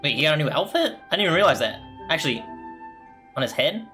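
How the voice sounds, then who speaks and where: A man's voice: a little high, very animated, fast, loud music behind the voice. A man giving casual reaction commentary as he plays a video game. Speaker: game streamer.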